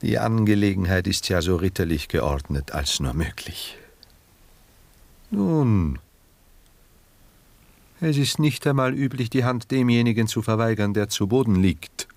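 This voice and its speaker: A man acting in a 1960s German radio drama as a cultivated, coolly ironic gentleman who speaks with formal, measured, detached courtesy. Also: fairly low pitch, animated, vocal fry, no room sound. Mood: resigned, composed.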